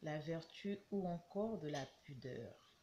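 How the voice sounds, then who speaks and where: A woman vlogger with a cold, talking casually to camera and giving her view with slow, hesitant, reflective phrasing. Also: low-pitched, animated, dark tone, highs cut off, slight background noise. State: has a cold.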